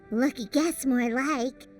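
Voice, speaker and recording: A woman voicing a tiny chatty fairy-like companion in a game cutscene, speaking of herself in the third person. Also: high-pitched. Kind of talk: video game cutscene dubbing. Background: game music.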